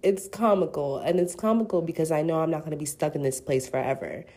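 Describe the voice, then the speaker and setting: A woman's voice: low-pitched, fast, mellow. A woman in her twenties hosting a casual solo podcast, playful and slangy, sounding congested from a cold. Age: 20s. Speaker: podcast host.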